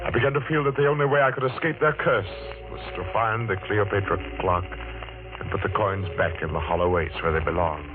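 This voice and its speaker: A man's voice: lowish voice, brisk, close to an everyday voice. An actor playing a haunted man recounting his youth with dramatic, suspenseful delivery in 1940s radio thriller style. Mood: tense, secretive. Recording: tinny old radio recording, loud music.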